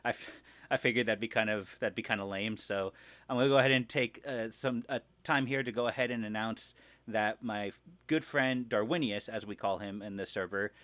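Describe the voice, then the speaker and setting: A man hosting a live stream, running casually through news in a halting, rambling way and often correcting himself. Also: on the low side, fairly bright tone, dry recording.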